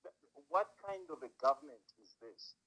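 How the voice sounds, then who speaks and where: A man, a caller giving indignant, halting political commentary full of repetitions. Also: a little high, expressive, pushed and bright, poor audio. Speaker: phone-in caller.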